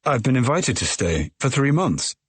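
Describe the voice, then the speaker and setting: A man's voice: medium pitch, sing-song, moderate pace, slightly rough, no echo, pitched above the performer's own voice. A man voicing a weary first-person traveller who speaks politely and insistently.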